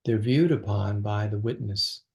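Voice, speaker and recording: A man leading a guided meditation as a teacher, speaking gently and slowly with long pauses between phrases. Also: lowish voice, creaky.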